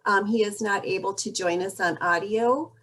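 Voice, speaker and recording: A woman hosting an online meeting over a video call, introducing each guest warmly and graciously. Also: mid-range voice, normal speed. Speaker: meeting host.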